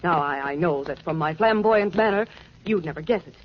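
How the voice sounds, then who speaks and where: A woman's voice: low-pitched, a little fast, natural voice. A woman playing a brassy, flamboyant, hot-tempered American actress in 1940s radio drama, mixing street slang with stilted formality. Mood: dramatic, insistent. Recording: muffled, noisy 1940s radio recording.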